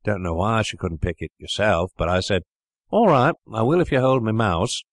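A man's voice: on the low side, normal speed, dry recording, clean studio sound, pitched above the performer's own voice, natural voice. A man voicing a little boy who rambles artlessly and sounds indignant, in an audiobook reading. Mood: aggrieved.

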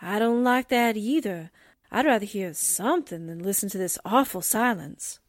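A woman playing a sarcastic, slangy, excitable female sidekick in a radio drama. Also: mid-range voice, moderate pace, heavy background noise, close to an everyday voice. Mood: suspicious. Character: wisecracking, jumpy, sarcastic female newspaper sidekick.

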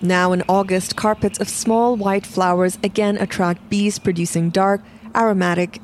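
A woman's voice: medium pitch, darkish tone, slight background noise. A woman reads scripted documentary narration as the narrator of a reportage.

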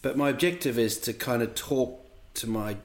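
A man, a comedian from England or New Zealand, chatting casually, halting and searching for his words. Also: mid-range voice, soft background music. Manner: halting, searching for words, frequent agreeing interjections. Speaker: comedian co-host. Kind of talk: casual chat. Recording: roomy echo.

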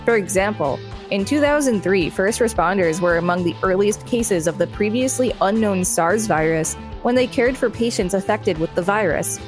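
A woman's voice: mid-range voice, lively intonation, moderate pace, hardly any pauses. American woman reading aloud over music. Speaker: podcast co-host and reader. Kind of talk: reading an encyclopedia article aloud with light banter.

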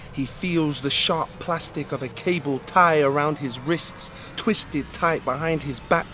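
A man narrating an audio drama intensely, sometimes dropping to a hushed whisper. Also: a little high.

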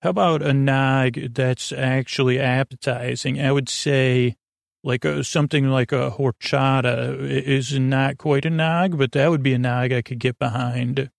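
A gravelly man rambles through a bedtime podcast monologue. He wanders off on tangents, stops and restarts, and leaves sentences hanging. The sound is close and dry, with a mid-range pitch. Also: fairly even intonation, no room sound. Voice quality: creaky, gravelly. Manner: meandering, halting, tangential, with trailing unfinished sentences. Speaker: podcast host. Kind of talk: rambling bedtime monologue to help listeners fall asleep.

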